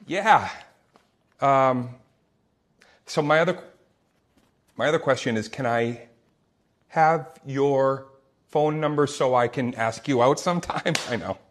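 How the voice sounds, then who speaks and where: A man playing a shy, nervous teenage boy in a comic script read, husky and stammering. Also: mid-range voice, natural voice. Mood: nervous, flustered. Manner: stammering, halting, nervous laughs. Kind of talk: comic screenplay table read.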